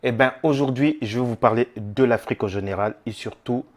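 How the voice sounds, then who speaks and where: A man's voice: mid-range voice, fairly quick. A young man from the Democratic Republic of the Congo hosting his own channel, talking casually to his viewers with frequent fillers and rephrasings. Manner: conversational, with many fillers and rephrasings. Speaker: YouTube channel host. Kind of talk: casual monologue to camera.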